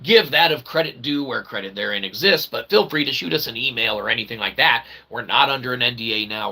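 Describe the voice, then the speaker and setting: A man's voice: medium pitch, gravelly, pushed and bright, a little hiss or hum, low-quality recording. A man giving a rambling casual monologue to viewers as a channel host, with wordy mock-formal phrasing, frequent okay tags and a self-deprecating manner. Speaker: independent channel host and developer.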